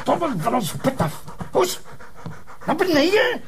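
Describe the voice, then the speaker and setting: An actor playing a sulking, sarcastic husband who grumbles in a Flemish accent, in a naturalistic drama. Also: male voice, very high voice, wildly swooping pitch, measured pace, crowd noise, full character voice. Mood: grumpy.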